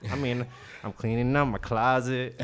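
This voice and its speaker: A man in his twenties from Queens, New York, a rapper chatting casually in a studio interview and acting out what he said to others. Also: mid-range voice. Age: 20s. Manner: animated, acts out quotes, restarts often. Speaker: rapper guest.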